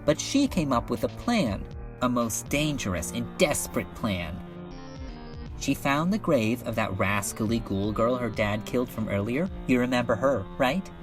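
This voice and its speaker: A man's voice: mid-range voice, animated, moderate pace, natural voice. A man voicing a slangy, enthusiastic geek who rambles about fanfiction in a webcomic fan dub. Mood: casual storytelling. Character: casual slangy geek excitedly telling and discussing fanfiction. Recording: music under the voice.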